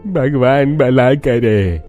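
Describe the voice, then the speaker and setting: A man's voice: mid-range voice, very slow, darkish tone, lo-fi sound, faint music behind, pitched above the performer's own voice. A man voicing an elderly, grateful male villager who shivers with cold in a cartoon story. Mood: relieved, grateful. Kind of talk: Hindi cartoon story dialogue.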